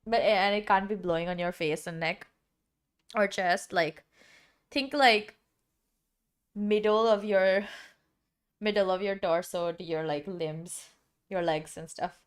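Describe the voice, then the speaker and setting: A woman's voice: medium pitch, steady tone, slow, pauses often, clear, resonant tone. A young woman streamer with a dry, sick-sounding throat, chatting casually and rambling with lots of filler words. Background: background music.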